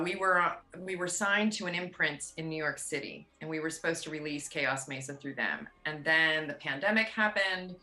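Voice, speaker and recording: Low-voiced woman with a forceful tone. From USA, outside Pittsburgh. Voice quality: low, big rock voice. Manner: chatty, animated, rambling. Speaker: singer, interview guest. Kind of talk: casual interview chat. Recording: roomy echo.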